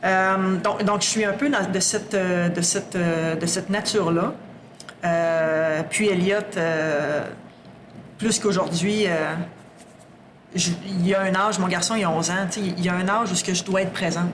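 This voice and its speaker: A woman's voice: lowish voice, fairly even intonation, unhurried, background noise. Candid talkative Québécois woman. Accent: Québécois French. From Quebec. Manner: candid, talkative, often restarts her sentences. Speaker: guest, sustainability executive. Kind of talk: casual podcast conversation.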